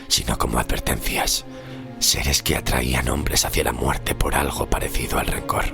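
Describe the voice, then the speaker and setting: A haunted old-world sailor, played by a male actor, recounts his survival in an audio horror drama. His voice is rock-bottom low and heavy with vocal fry. He speaks gravely and deliberately, sinking into near-whispers over clearly audible music. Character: haunted, scarred 16th-century sailor, outcast survivor telling his story. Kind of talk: first-person narration in an audio horror drama.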